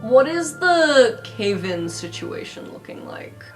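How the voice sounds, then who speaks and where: A woman's voice: high-pitched, big pitch swings, takes it slowly, faint music behind. A woman playing a tabletop role-playing game, chatting casually in a blunt, sweary way.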